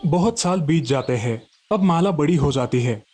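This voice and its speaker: A man reads a scripted children's story. His voice is warm and mellow, a touch high for a man, and music plays behind him. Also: expressive, moderate pace.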